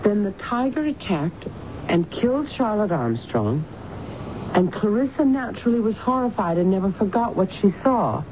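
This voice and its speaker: A woman's voice: medium pitch, big pitch swings, normal speed, close to an everyday voice. An actress playing a troubled, weary married woman who is a psychiatric patient in a radio drama, with a hesitant, questioning delivery. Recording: dull, noisy old radio broadcast.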